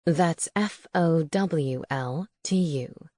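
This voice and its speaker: A woman voicing a dry, sardonic male owl familiar who speaks slowly and low. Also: mellow, no room sound, exaggerated voice. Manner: slow, low and unhurried.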